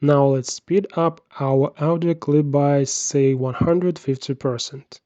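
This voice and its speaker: Male tutorial narrator, unhurried and soft-edged, measured instruction, dry and slightly dull recording. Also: on the high side, fairly even intonation, no echo. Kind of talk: scripted software tutorial narration.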